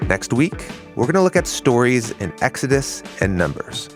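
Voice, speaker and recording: A man co-hosting a podcast, speaking calmly with slow, soft emphasis. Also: mid-range voice, faint music behind. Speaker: podcast co-host. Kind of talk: conversational podcast talk and outro.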